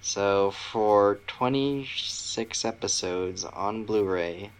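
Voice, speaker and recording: A man's voice: fairly low pitch, extremely slow, clean tone, low-quality recording. A man co-hosting a podcast, talking casually about pop culture, with audible background noise. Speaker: podcast co-host.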